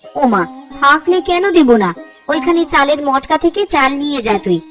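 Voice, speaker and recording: High-pitched caring village mother. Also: animated, normal speed, fairly bright tone, distant mic, soft background music. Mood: kindly. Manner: motherly, homely. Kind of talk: Bengali cartoon fairy-tale voice acting.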